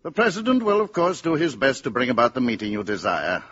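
An actor playing an apologetic, diplomatic government official in a 1950s radio drama, courteous, formal and a little hesitant. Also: male voice, medium pitch, wildly swooping pitch, fast talker, a bit bright, husky, exaggerated voice. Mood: apologetic, firm. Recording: dull, hissy 1950s radio recording.

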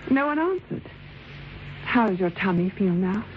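An actress playing a polite, solicitous office secretary in an old radio drama, deferential and slightly hesitant. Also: female voice, mid-range voice, very animated, moderate pace, lightly acted. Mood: apologetic, concerned. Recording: dull, hissy old radio recording.

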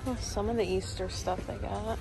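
A woman's voice: mid-range voice, fairly even intonation, normal speed. A young woman vlogging while she shops, chatting and thinking aloud about items on the shelves. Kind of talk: casual shopping vlog chat. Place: large retail store, indoors. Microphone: handheld phone camera. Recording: store music playing in background. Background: store music overhead.

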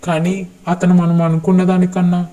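A man's voice: high-pitched, completely flat delivery, a little fast, mellow, lightly acted. A man playing a stern, protective young politician in custody in a web series drama, speaking firmly and urgently. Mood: worried, cautioning. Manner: firm, commanding, urgent.

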